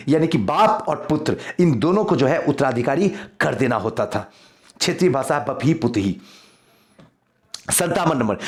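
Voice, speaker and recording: A man teaching an exam quiz lesson, reading out questions and repeating key answers for emphasis. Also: fairly high pitch. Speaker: history teacher for civil service exam coaching.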